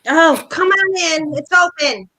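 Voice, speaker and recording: A blunt, unsentimental middle-aged wife and mother acted by a woman in an amateur play reading. The voice is high and bright with a forceful edge, and the delivery is brusque and impatient. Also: measured pace, exaggerated voice. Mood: casual. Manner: brusque, matter-of-fact, impatient.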